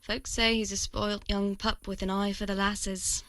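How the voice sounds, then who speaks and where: An actress playing a gentle, pious young village woman with a playful warmth, in a medieval video game. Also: medium pitch, little pitch movement, a little slow, no echo. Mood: chatty, informative. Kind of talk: video game dialogue acting.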